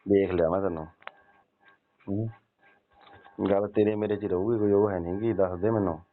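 A man's voice: sits low, steady tone, moderate pace, slight background noise, natural voice. A man playing a wheedling, insistent elderly father-in-law in a staged phone call, pressing and nagging with grunted question tags. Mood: sly, insinuating. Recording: tinny narrow-band phone call.

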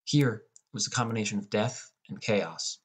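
A young man reading a scripted first-person audiobook as a depressed high school boy. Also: moderate pace, breathy edge. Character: depressed, irritable high school boy telling his story. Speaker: audiobook narrator.

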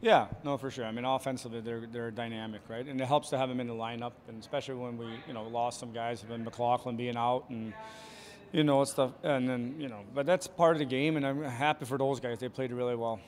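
A man in his forties, a hockey coach answering postgame questions quickly and plainly, with many fillers, into a handheld mic in an arena hallway. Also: medium pitch, fairly even intonation, slight background noise. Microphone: handheld reporter mic.

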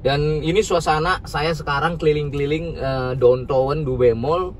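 Male vlogger, chatty, rambling, off-mic on a loud city street with traffic behind. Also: a little high, fairly even intonation, moderate pace. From Indonesia. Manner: chatty, rambling, repeats tag questions. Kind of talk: casual walking vlog. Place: busy city street outdoors. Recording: distant outdoor street recording.

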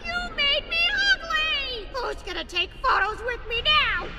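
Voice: very high voice, animated, a little slow, very noisy, exaggerated voice. A performer voicing a vain, vindictive little cartoon mascot in a horror game, whiny and bratty. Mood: whiny outrage. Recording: echoey, distant, loud music behind.

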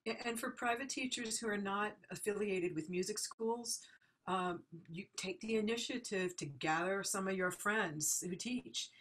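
A woman's voice: mid-range voice, unhurried, fairly bright tone, rough recording. A woman music educator speaking on a video call panel, thoughtful and hesitant, with many fillers and restarts. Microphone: video call laptop mic.

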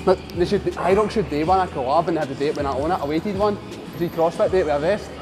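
A man's voice: fairly high pitch, quick pace. A young man in his twenties chatting bluntly and jokingly while training, swearing freely as a fitness vlogger in a large gym. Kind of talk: casual gym banter. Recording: music playing under the talk.

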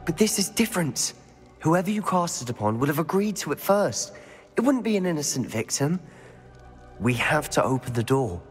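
A man acting a determined, persuasive schoolboy wizard with a British accent in a video game, earnest and deliberate in formal phrasing. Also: fairly high pitch, big pitch swings, breathy edge. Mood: urgent. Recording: echoing stone hall, game music underneath.

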